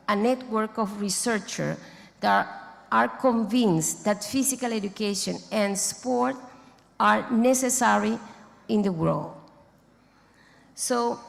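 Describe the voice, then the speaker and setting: A woman, not a native English speaker, giving a formal closing speech at a conference, halting and restarting mid-phrase as she thanks organizers and names board members. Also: on the high side, gravelly. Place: large conference hall. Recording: long hall reverb.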